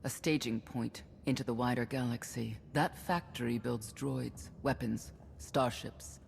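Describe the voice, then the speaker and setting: A woman's voice: a bass voice, steady tone, unhurried, gravelly, a little mellow, slight background noise, heavily acted. A woman playing a cool, matter-of-fact advisor briefing on a target in a game cutscene.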